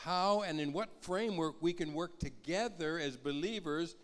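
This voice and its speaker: A man preaching in short, teacherly phrases and pausing after each so an interpreter can follow. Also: fairly high pitch. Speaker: visiting rabbi preaching. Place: church hall. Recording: long church hall reverb.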